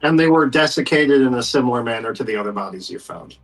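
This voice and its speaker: A man's voice: mid-range voice, animated, fast, fairly bright tone, rough recording. A man acting as game master, casually narrating and steering a tabletop game.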